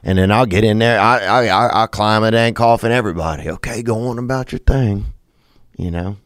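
A raspy-voiced man hosts a comedy podcast, his voice sitting very low. He rambles through crude, slangy riffs. The sound is dry and close, with no room around it. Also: fairly quick, a bit bright, no room sound. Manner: loose, crude, slangy riffing. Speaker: podcast host. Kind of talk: rambling comic podcast talk.

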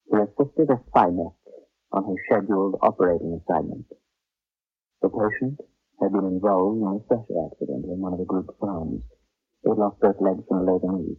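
Casting: solemn storyteller for vintage radio horror, low husky voice, measured and dramatic, faint music behind. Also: male voice. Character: grave storyteller of a science fiction horror tale. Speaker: narrator. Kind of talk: old-time radio drama narration. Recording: dull old radio recording.

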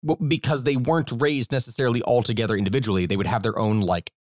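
A man's voice: medium pitch, steady tone, fast, no room sound, studio quality. A man with an American accent and a slight Kentucky twang, telling history as a podcast host in a rambling, emphatic, informal way. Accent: American, slight Kentucky country twang. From Kentucky, United States. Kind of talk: conversational history storytelling.

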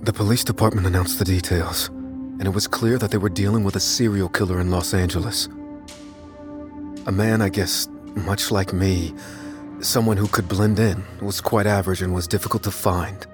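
An actor playing an unassuming, secretive young computer whiz, giving a guarded, deliberate confession in an audio drama. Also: male voice, deep voice, fairly even intonation, over music, lightly acted. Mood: grave, matter-of-fact. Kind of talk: dramatic first-person audio fiction narration.

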